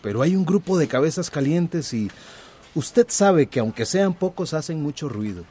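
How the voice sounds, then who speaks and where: A man's voice: fairly high pitch, lively intonation, normal speed, mellow, natural voice. An actor playing a deferential, apologetic informant reporting to his boss in a radio drama. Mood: nervous, placating. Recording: faint background noise.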